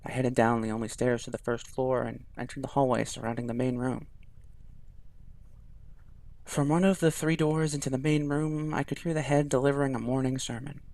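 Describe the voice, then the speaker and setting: A man reading a visual novel aloud in a quiet, even storytelling voice. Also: mid-range voice, normal speed, creaky, no echo.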